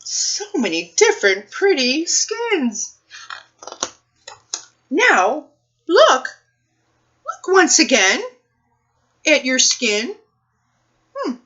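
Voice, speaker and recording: A woman speaking as a children's librarian at a read-aloud storytime, expressive and addressing young children and families. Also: high-pitched, very slow, pauses often, lo-fi sound. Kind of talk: picture book read-aloud and talk to families.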